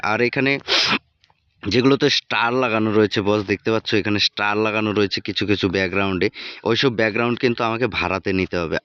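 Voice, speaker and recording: A man's voice: on the low side, a bit bright, smooth, dry recording, highs cut off. A man narrating an informal video tutorial, addressing viewers familiarly and often repeating himself and his catchphrases. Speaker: tutorial presenter.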